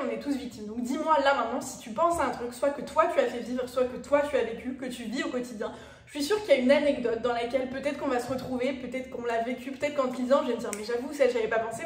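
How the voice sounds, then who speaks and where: Young woman, Parisian French accent, chatty vlogger, echoey room, slightly distant mic. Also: on the high side. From France. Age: 20s. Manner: chatty, direct address to viewers, frequent fillers. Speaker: YouTuber. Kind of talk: casual personal vlog talk.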